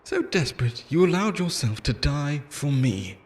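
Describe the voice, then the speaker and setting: A man's voice: on the high side, sing-song, moderate pace. A man playing an obsessive immortal lover in an audio roleplay, theatrical and intense, shifting between pleading tenderness and menace. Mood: taunting, menacing. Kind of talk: dramatic ASMR-style audio roleplay monologue.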